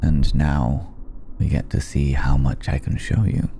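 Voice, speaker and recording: An extremely deep-voiced, suave old vampire, acted by a man in an intimate ASMR roleplay. He speaks in a very dark, gravelly tone with refined, wry pauses, over faint background noise. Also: little pitch movement, full character voice. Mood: measured, courtly. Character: suave, worldly old male vampire, amused and courtly. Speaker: voice actor in audio roleplay.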